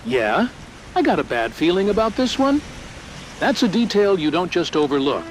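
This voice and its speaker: An actor playing a brooding detective in a scripted noir monologue. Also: male voice, high voice, big pitch swings, moderate pace, a little hiss or hum, rough recording. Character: brooding male noir detective with a bad feeling.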